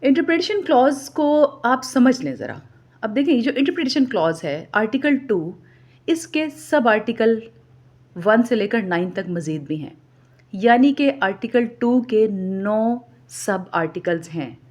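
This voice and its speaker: A Pakistani woman teaches in a fairly high-pitched voice. She explains step by step like an exam tutor, repeating points so they can be memorised. English terms and formally read clauses are woven through her explanation. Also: lively intonation, measured pace, a little mellow. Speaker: law lecturer. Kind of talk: instructional lecture for exam students.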